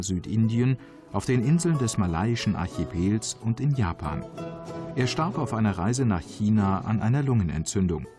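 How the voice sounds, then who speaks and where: A man reading scripted travel documentary narration in a calm, measured, informative way. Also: on the low side. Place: voice-over studio. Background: background music.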